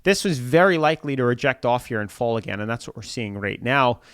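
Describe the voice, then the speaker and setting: A man's voice: mid-range voice, animated, fairly quick, fairly bright tone, clean tone, no echo, studio quality. A man hosting a crypto channel, talking through market charts in casual commentary, a little congested from a cold, chatty and repetitive. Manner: chatty, repeats filler "like", pushes viewers to subscribe.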